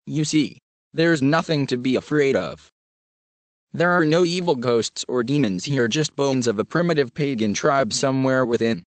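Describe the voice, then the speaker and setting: A man voicing a stern, skeptical male counselor who speaks in a brisk, matter-of-fact way. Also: mid-range voice, no echo, close to an everyday voice.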